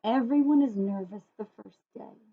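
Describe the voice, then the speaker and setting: A woman voicing an effusive, brisk woman principal in a storybook, with singsong, commanding delivery. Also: on the high side, husky. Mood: gushing. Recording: phone-like, muffled thin audio.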